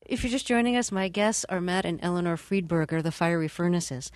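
Woman, radio host, measured, lowish voice, dry studio. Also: no echo. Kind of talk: radio interview.

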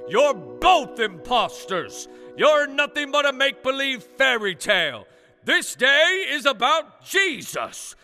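Righteous costumed Jesus played by a male storyteller, indignant yelling, raised pitch, bright edge. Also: very animated, takes it slowly, big-room sound, soft background music, heavily acted. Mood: righteous outrage. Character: indignant zealous prankster dressed as Jesus, yelling.

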